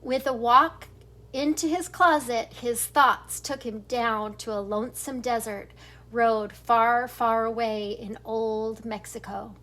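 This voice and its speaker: A woman's voice: fairly high pitch, steady tone, slow pace, a bit bright, low-quality recording. An older woman, a grandmother, reading a children's storybook aloud in an informal, giggly way with chatty asides.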